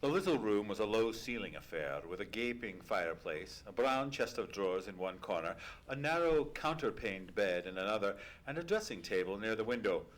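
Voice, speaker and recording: A man playing an earnest, easily astonished gentleman sidekick who narrates an old-time radio play in a formal storytelling manner. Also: lowish voice, sing-song, normal speed, hardly any pauses, rough recording. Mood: measured storytelling. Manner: formal storytelling, exclaiming in surprise. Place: live theatre stage performance.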